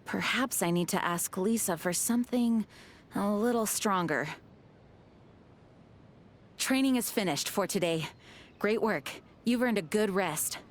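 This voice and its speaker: A woman's voice: mid-range voice, a little slow, pauses often, close to an everyday voice. Woman doing young lady knight from a video game, earnest and proper, composed, very formal wording. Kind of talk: video game character voice lines.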